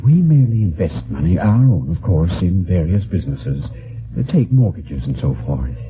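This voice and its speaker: A man's voice: low voice, sing-song, normal speed. An actor playing a short, fat, smugly polite businessman villain in a radio drama, with a purring voice and a pompous, wordy manner. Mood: oily, smug. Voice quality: smooth purring. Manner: pompous, wordy, falsely courteous. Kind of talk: old-time radio drama acting. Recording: thin, phone-like vintage radio recording.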